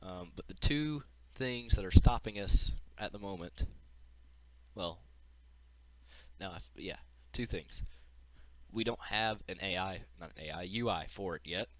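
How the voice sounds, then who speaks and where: A man's voice: low-pitched, measured pace, fairly bright tone, no echo. A man giving an informal, unscripted walkthrough of a game demo as its developer, explaining as he goes, with audible breathing into the mic.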